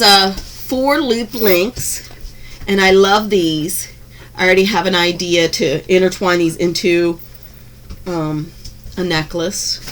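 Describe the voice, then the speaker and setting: A woman chatting through a craft unboxing in her home craft room, gushing over each piece with frequent ums and restarts, her voice a little hoarse from being under the weather. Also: fairly low pitch, a little hiss or hum. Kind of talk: casual unboxing narration.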